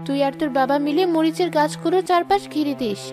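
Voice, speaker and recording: A woman voicing a poor, self-sacrificing village mother in a cartoon, earnest and gentle, with music behind. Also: high-pitched, fast, natural voice. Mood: earnest, dutiful. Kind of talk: moral-story cartoon acting.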